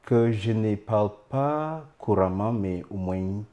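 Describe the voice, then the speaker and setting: A man from Nigeria, Idoma by first language, answers as a guest priest in a studio. His voice is low and mellow, and he speaks slowly. He pauses often with "euh" as he builds each answer. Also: lively intonation. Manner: halting, with frequent "euh" pauses. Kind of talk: interview answers.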